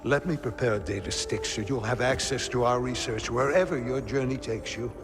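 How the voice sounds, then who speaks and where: An actor as a measured older scholar, echoing hall. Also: male voice, mid-range voice, close to an everyday voice. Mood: regretful, gentle. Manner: measured, formal. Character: older learned male researcher, measured and kindly. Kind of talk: cinematic video game acting, formal exposition. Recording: reverberant hall, music underneath.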